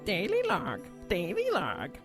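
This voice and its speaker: A man's voice: high-pitched, wildly swooping pitch, takes it slowly, creaky, music in the background, pitched above the performer's own voice, heavily acted. A man voicing a repetitive old pet parrot with a squawk in an audio drama. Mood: squawky. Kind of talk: audio drama character acting.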